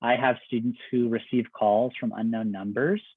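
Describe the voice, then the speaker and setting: A man working as an admissions counselor, giving a conversational, step-by-step presentation with everyday examples. His voice is getting a little raspy. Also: mid-range voice, fairly even intonation, moderate pace, no room sound. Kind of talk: informational presentation. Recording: dry, phone-like video call audio.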